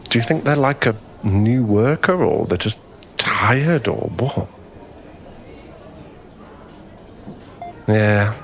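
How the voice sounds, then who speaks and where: A man's voice: on the low side, lively intonation, a little slow, slight background noise. A man playing a friendly, easily flustered young guy in a conversational ASMR boyfriend roleplay, with a hesitant, rambling, self-effacing way of talking. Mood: thoughtful, kind.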